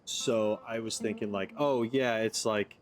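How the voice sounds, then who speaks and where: A man's voice: on the low side, steady tone, unhurried. A man hosting a gaming video, giving casual playthrough commentary and rambling as he thinks aloud.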